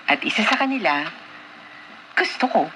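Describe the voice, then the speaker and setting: A woman's voice: mid-range voice, big pitch swings, moderate pace, pushed and bright, a little hiss or hum. A woman with a Filipino accent acting a gracious young heiress in a Tagalog radio drama. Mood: bright, affectionate. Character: Julia, young Filipina heiress, once haughty, now gracious.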